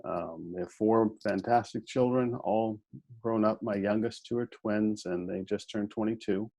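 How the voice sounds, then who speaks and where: Podcast guest, man, 50s, low voice, folksy and good-humored storytelling. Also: steady tone, measured pace, creaky, warm tone. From United States. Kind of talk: conversational interview.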